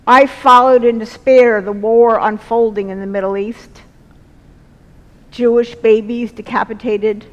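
A middle-aged woman preaching a sermon from a lectern in a large church, speaking earnestly in slow, deliberate phrases. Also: fairly high pitch, muffled recording. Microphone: lectern mic, far camera. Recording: long church reverb.

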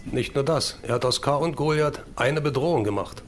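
A man's voice: medium pitch, moderate pace, lightly acted. A man playing a decisive, loyal action hero in an audio drama, direct in manner. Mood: concerned. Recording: music and engine noise behind.

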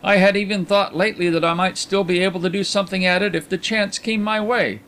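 A man voicing a genial, cultured gentleman in an audiobook, speaking in articulate, formal phrases with shrewd self-assurance. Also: high voice, fairly even intonation, quick pace, clean tone, pitched above the performer's own voice. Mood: urbane, genial. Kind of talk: audiobook character dialogue.

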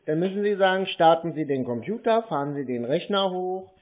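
A man's voice: high voice, animated, fairly quick. A man chatting casually on a recorded speakerphone call, playing naive with an obtuse, rambling, literal-minded manner. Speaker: prank caller on scam call centres. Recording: muffled lo-fi phone recording, faint noise.

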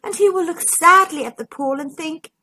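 A woman hosting a children's storytime, reading a picture book aloud in an expressive, emphatic way, speaking directly to young listeners. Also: extremely high pitch, normal speed. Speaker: children's storytime host and narrator.

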